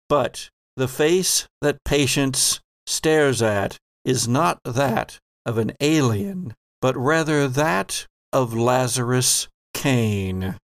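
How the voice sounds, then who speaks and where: A man hosting and narrating a tabletop horror game in a measured, theatrical way. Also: mid-range voice, dry recording, clean studio sound. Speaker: game master and podcast host.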